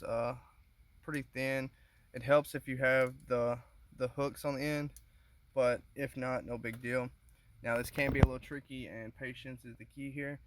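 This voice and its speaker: A man giving a casual hands-on how-to, informal and halting as he thinks aloud while working, recorded on a handheld phone inside a car. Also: medium pitch, fairly bright tone. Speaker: car DIY demonstrator. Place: inside a car, close quarters.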